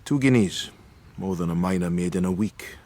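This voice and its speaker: A man's voice: low-pitched, normal speed, dark tone, lightly acted. An actor playing a lovelorn man, narrating his memories in a wistful, confiding way for a radio drama. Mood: wistful, regretful. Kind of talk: reflective first-person drama narration.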